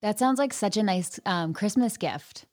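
A woman's voice: medium pitch, expressive, normal speed, no room sound, studio quality. A woman hosting an interview, talking enthusiastically and telling stories with fillers. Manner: enthusiastic, warm storytelling with fillers. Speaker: host. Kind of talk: casual interview chat.

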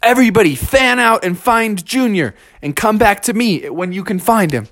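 Storybook papa bear voiced by a man, protective, urgent, commanding, raised pitch. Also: lively intonation, normal speed, a bit bright, dry recording. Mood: taking charge. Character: protective father bear, urgent take-charge family leader.

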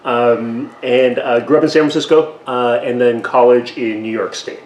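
A man's voice: medium pitch, steady tone, moderate pace, darkish tone, roomy sound, highs cut off, slight background noise. A man born in Taiwan, guest on a cooking interview show, talking in a chatty, self-deprecating way with frequent restarts. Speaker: guest, radio station president. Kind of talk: casual conversational interview. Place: home kitchen.

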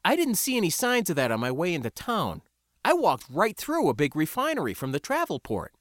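A man's voice: on the high side, huge pitch swings, brisk, fairly bright tone, dry recording, studio quality, voice pushed up. A man playing an impatient, incredulous off-world spacer in an audiobook, questioning in a blunt, exasperated way.

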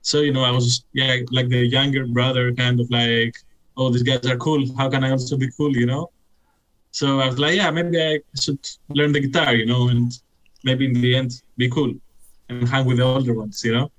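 A man's voice: mid-range voice, little pitch movement, moderate pace, a bit bright, poor audio. A young Greek man with Greek as his first language, chatting casually as a guest, his talk full of "like" and "you know". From Greece. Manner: rambling, heavy use of "like" and "you know". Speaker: guest musician.

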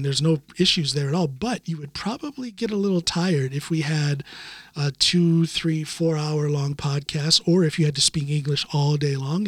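Chatty podcast host, dry sound. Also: male voice, on the high side, dry recording. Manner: chatty, self-deprecating, many restarts. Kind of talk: casual conversation.

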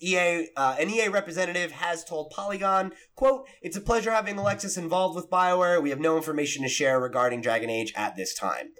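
A man's voice: on the high side, fairly bright tone. A man co-hosting a casual gaming podcast, chatting informally and freely using swear words. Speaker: podcast co-host.

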